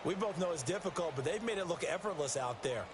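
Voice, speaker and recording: An actor playing a folksy American color commentator in a football video game, explaining things in a conversational way. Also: male voice, a little high, expressive, fast talker, close to an everyday voice. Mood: reflective, explanatory. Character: folksy, explanatory male football analyst, ex-coach. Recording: very noisy game stream, stadium ambience.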